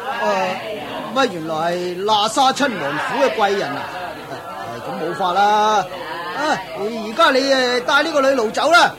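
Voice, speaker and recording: An actor playing a brash, callous slave auctioneer in a radio play, pushy and mocking. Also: male voice, high-pitched, sing-song, moderate pace. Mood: fawning. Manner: pushy, mocking, sells loudly. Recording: market crowd sound effects behind. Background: crowd murmur.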